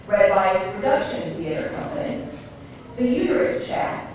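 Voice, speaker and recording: A woman's voice: medium pitch, big pitch swings, a little slow, darkish tone. A woman hosting a live event, making announcements to those attending. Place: indoor venue, live event. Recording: very echoey room, distant mic, faint noise.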